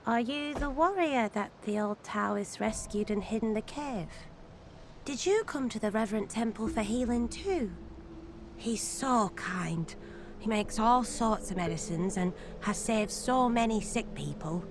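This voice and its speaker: An actress playing a friendly, curious young temple girl in a game cutscene, with a gentle, naive manner. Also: fairly high pitch, animated, measured pace. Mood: friendly, reassuring. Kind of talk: scripted game cutscene dialogue. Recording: clear ambient noise behind the voice.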